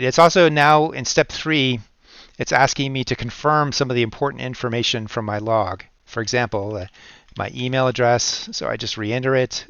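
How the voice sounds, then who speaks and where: Clear-voiced man, halting tutorial delivery, dry sound. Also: medium pitch, fairly bright tone, dry recording, dull audio. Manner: halting, explanatory. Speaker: software tutorial presenter. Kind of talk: unscripted step-by-step screen tutorial.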